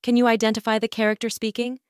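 A woman's voice: fairly high pitch, flat delivery, fast talker, slight background noise. A woman hosting a quiz, announcing questions and answers in an upbeat scripted way. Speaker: quiz host.

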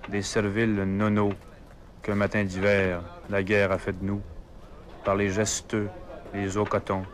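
A man's voice: fairly low pitch, monotone, a little slow. A man reciting a poem aloud. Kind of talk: spoken poetry recital.

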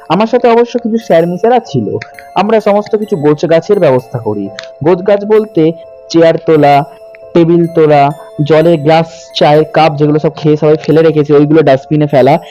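A young man narrates a first-person ghost story in a suspenseful, conversational way, pausing for drama. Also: a little high, dark tone, highs cut off. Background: background music.

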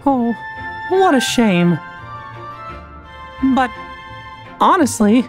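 A man voicing a small, polite, plucky cartoon mouse king in a video game, in an earnest falsetto. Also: sing-song, extremely slow, music in the background, heavily acted. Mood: regretful. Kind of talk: animated video game voice acting.

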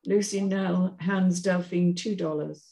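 A woman's voice: fairly low pitch, fairly even intonation, takes it slowly, creaky, dark tone. A woman reading scripted stage directions as narrator in a screenplay table read. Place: indoor room at home, on a video call.

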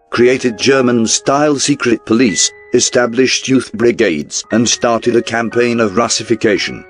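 Male documentary narrator, lowish pitch, scripted newsreel style, formal, measured, faint background music.

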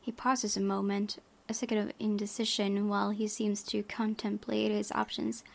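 A woman's voice: mid-range voice, level tone, moderate pace, vocal fry. A young woman hosting a let's play, reading visual novel narration aloud expressively.

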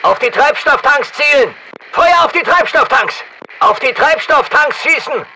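An actor playing a battle-hardened special forces soldier in a shooter game, giving clipped, commanding callouts over military radio. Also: male voice, very high-pitched, expressive, unhurried, bright, forceful tone, slight background noise, full character voice, low-quality recording. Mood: urgent.

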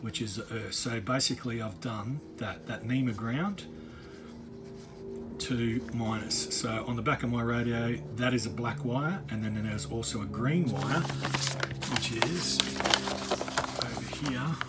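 A middle-aged man giving a casual, off-the-cuff DIY walkthrough, halting and rephrasing as he explains, recorded on a handheld phone. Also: mid-range voice, over loud music. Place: on a boat. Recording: handheld phone recording, clear ambient noise.

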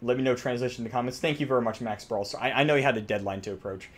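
A young man doing comedic reaction commentary on game voice lines, excitable and crude, exaggerating and swearing freely. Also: medium pitch, racing. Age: young adult. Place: small room indoors, wall covered in drawings. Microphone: desk microphone close by.

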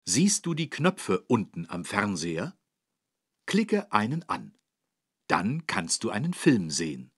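Husky, animated man children's traffic teacher. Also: lowish voice, slow pace, no room sound, studio quality, heavily acted. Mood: instructive. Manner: encouraging, clearly didactic. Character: friendly, patient adult man teaching children road safety. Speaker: instructor and narrator. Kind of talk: scripted children's educational narration.

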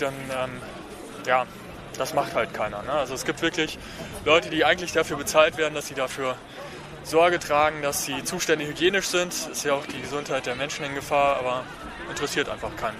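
A man giving an informal, colloquial and somewhat hesitant interview as an activist, recorded outdoors. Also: on the high side. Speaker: animal rights activist being interviewed. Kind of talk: casual, informal spoken interview. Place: outdoors at a city square. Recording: noisy outdoor street recording.

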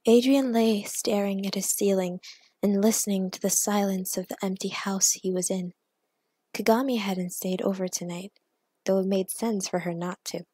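A woman narrating fiction aloud in an expressive, measured, dramatic storytelling style. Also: mid-range voice, slightly rough, no room sound. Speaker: narrator and author of fan fiction.

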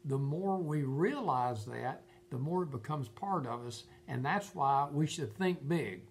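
A man's voice: a little high, animated, measured pace, slight background noise. An older man giving informal Bible teaching in an earnest, emphatic way, often repeating his phrases. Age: older, about 70s. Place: furnished room at home.

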